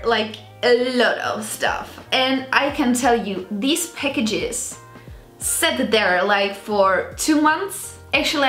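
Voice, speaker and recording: Female vlogger, twenties, Czech accent. High-pitched, casual and self-mocking chat to camera, roomy recording with music underneath. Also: a bit bright. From Czech Republic. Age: 20s. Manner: chatty, rambling, self-mocking. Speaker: video blogger presenting a clothing haul. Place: small indoor beauty room with vanity.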